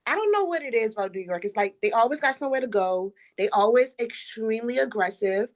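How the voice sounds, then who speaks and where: Higher-voiced woman from the Bahamas. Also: expressive, fast. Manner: forthright, emphatic storytelling. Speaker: podcast guest. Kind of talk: casual conversation.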